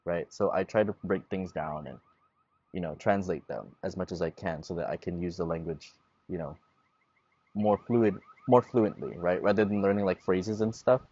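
A man in his twenties, a guest in a relaxed outdoor panel talk, explaining at length and gesturing as he speaks. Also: low-pitched, moderate pace, slightly rough, muffled recording. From Guam. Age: 20s. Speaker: guest, Chamorro language learner. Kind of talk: casual panel conversation. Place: outdoors at a table in a leafy garden. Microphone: lapel mic.

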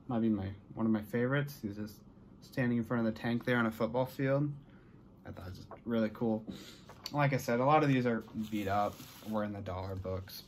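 Young American male vlogger, mid-pitched, casual and rambling, bedroom recording with audible noise. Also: a little mellow. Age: young adult. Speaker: vlogger showing a collection haul. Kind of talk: casual chat to camera. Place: small bedroom, indoors.

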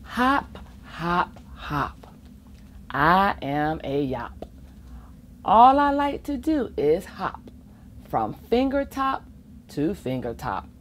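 Slow, very animated woman reading a storybook. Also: deep voice. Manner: expressive sing-song delivery with playful asides. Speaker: volunteer children's storybook reader. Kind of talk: reading a children's picture book aloud. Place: school or public library children's corner, indoors. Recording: faint room ambience noise.

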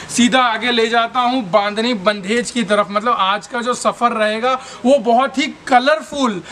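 A young man with an Indian accent presents goods as a shop host in a showman's patter. His voice is strikingly high for a man and bright, with a forceful edge. There is some faint noise behind him. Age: 20s. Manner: showman-like patter, addressing viewers as brothers and sisters. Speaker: shop host selling wholesale suit fabric. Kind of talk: fast sales-pitch presentation to camera. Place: large textile shop warehouse, indoors.

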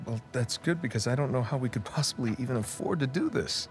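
A male actor as an easygoing middle-aged American adventurer, talking with dry sarcasm and playful banter. His mid-pitched voice swings with expressive melody, as in a cinematic video game, over prominent music. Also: fast, natural voice. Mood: surprised. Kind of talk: naturalistic video game cutscene acting.

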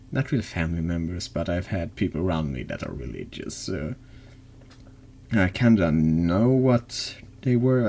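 A man with an extremely low voice speaks slowly as he streams a horror text game. His delivery is heavy with vocal fry, and there is faint noise behind him. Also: a little mellow. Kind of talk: slow dramatic reading of a horror text game and casual chat.

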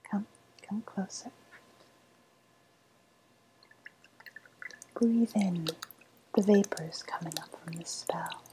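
A woman playing a sly, cooing woodland witch with a faint menace, in a breathy, softly spoken ASMR roleplay whisper. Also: on the low side, a little hiss or hum, low-quality recording. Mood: coaxing, mysterious. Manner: slow, savouring, coaxing delivery.

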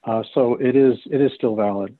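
A man in his fifties gives formal expert answers at a mid pitch. The connection is thin and phone-like, with a very dry sound. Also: normal speed, dry recording. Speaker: technical consultant on a panel. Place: indoors on a video call. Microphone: computer video-call microphone. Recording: narrow phone-like call audio, dry.

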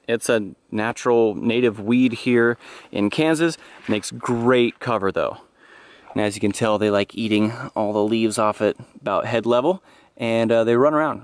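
A man's voice: mid-range voice, normal speed. A man in his thirties giving an informal, off-the-cuff walking tour on a handheld camera outdoors. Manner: informal, loosely explanatory. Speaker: farm tour vlogger. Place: outdoors in farm pens. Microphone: handheld action camera at arm's length. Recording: outdoor camera audio with noise and clicks.

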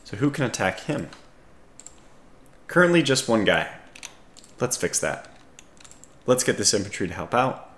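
A man with a scratchy voice giving casual strategy game commentary, calmly thinking aloud as he analyses his options. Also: mid-range voice, unhurried, long pauses, roomy sound. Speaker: gaming video host.

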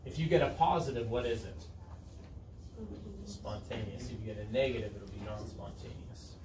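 A man's voice: lowish voice, moderate pace. Roomy, distant male classroom teacher. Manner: informal, conversational, checks in with students. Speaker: high school chemistry teacher. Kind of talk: classroom lesson, asking students questions. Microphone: camera set back in the room. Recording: roomy, distant camera mic, room noise.

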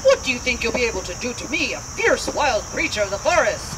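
A highish, very animated voice heard from a distance through outdoor noise. A woman plays a timid, kindly lion puppet, hesitant and gentle as it frets. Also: brisk. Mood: nervous. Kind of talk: puppet show acting. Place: outdoor puppet theater at a reenactment. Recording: distant, noisy outdoor recording with insects.

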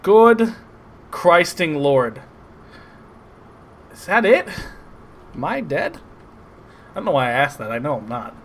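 A man giving casual, excitable, sarcastic commentary over a video game playthrough, swearing often. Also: fairly high pitch, slow, pauses often, slight background noise. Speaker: gameplay commentator. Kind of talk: casual let's play commentary.

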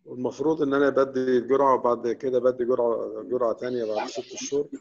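A man with an Egyptian Arabic accent, a veterinary professor answering questions during an online lecture with many quick restarts. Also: mid-range voice, level tone, creaky, darkish tone. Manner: explanatory, rapid, with frequent restarts. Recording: dull, lo-fi online call audio.

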